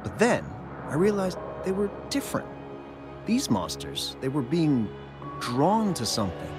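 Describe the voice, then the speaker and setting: A man playing a friendly, jumpy young mine worker in a video game, conversational and expressive, hesitating in places. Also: a little high, unhurried, exaggerated voice. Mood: uneasy recounting. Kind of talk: video game dialogue, recounting events. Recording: background music under the voice.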